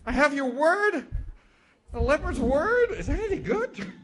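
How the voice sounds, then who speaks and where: A man's voice: very high voice, sing-song, unhurried, fairly bright tone, pitched above the performer's own voice, exaggerated voice. A man voicing a tiny, sly and eager trickster spider who stammers and wheedles nervously. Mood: suspicious.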